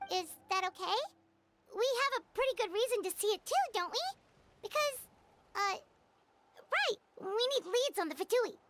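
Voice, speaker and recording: A woman's voice: extremely high pitch, expressive, moderate pace, long pauses, background noise. A woman voicing a small, childlike floating companion in a video game, chirpy and earnest. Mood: concerned. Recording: music behind the voice.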